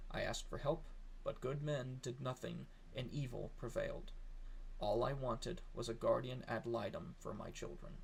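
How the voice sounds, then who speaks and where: Guy with a gravelly fry in his voice reading a letter out, serious and measured, bit of background noise. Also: mid-range voice, rough recording. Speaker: narrator reading a letter aloud. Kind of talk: solemn scripted reading.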